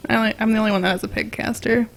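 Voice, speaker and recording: A young woman podcast host chatting casually, acting out quoted remarks as she talks, on a boom-arm microphone. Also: fairly even intonation, very fast, vocal fry. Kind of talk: casual anecdotal chat about tabletop gaming. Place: small indoor room.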